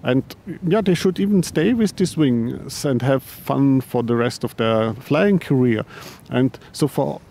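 A man who heads a paraglider company answering interview questions, halting, with frequent restarts and repeated words. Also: medium pitch, mellow. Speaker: paraglider designer and company head.

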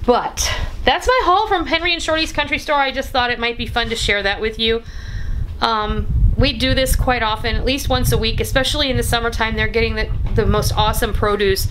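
High-voiced, folksy woman chatting over noise. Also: a little fast, fairly bright tone, poor audio. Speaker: home cooking vlogger and host. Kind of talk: casual chatty haul narration. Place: room at home. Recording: clear background noise.

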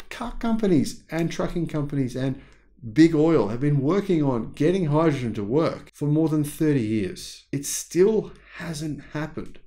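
A man in his thirties with an Australian accent, hosting his own channel with animated, chatty commentary to camera from a small curtained room. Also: mid-range voice. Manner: animated, chatty, jokey asides. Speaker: channel host.

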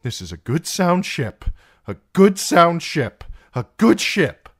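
A narrator voicing a frightened, anxious young man who pleads in a high voice. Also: sing-song, takes it slowly, heavily acted. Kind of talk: audiobook character voice.

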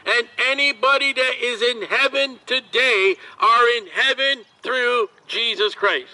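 Man, street preacher, very high and piercing, slow drawn-out open-air sermon. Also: bright, forceful tone, rough recording. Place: outdoors at a street market.

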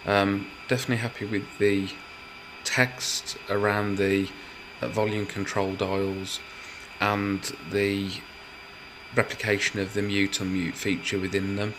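Male hobbyist presenter, lower voice, methodical explanatory delivery, slight noise behind. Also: flat delivery, unhurried. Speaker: hobbyist builder presenting his project. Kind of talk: explanatory build walkthrough.

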